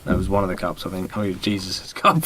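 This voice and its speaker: A man's voice: low-pitched, little pitch movement, quick pace, a loud crowd. A British man co-hosting a comedy podcast, trading improvised banter in a joking, irreverent way. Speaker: podcast co-host.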